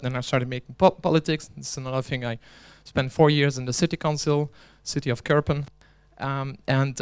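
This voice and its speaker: Clear-voiced man giving a joking conference talk. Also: a little high. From Belgium. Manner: chatty, joking, restarts often. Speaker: conference speaker and organizer. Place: conference hall.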